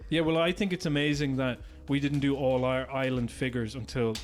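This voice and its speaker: A man's voice: mid-range voice, steady tone, fairly quick. Irish podcast guy from galway, swears a lot, fed up and ranting, faint music behind. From Galway, Ireland. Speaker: podcast co-host. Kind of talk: casual chat.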